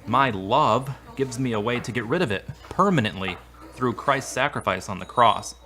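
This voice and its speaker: A man's voice: medium pitch, moderate pace, bright, forceful tone, natural voice. An actor playing an earnest, devout man in a radio drama, speaking plainly and sincerely. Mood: calm, friendly. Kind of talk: scripted radio-play dialogue.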